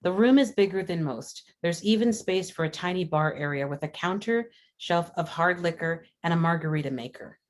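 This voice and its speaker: Low-pitched woman reading screenplay stage directions. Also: normal speed, few pauses. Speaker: stage directions reader at a script table read. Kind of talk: scripted narration of screenplay action.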